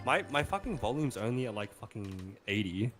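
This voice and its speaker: A man streams a game, reading the story aloud in a soft-spoken way. His pitch moves around freely and he slips into casual, sweary banter. Faint music plays behind him. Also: sing-song, moderate pace. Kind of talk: narration mixed with casual banter.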